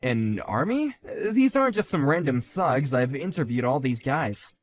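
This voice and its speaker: A man voicing a calm, sardonic grown man who talks in a level, dry and cutting way, in a one-man voiced drama. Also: medium pitch, moderate pace, soft background music, pitched below the performer's own voice, lightly acted.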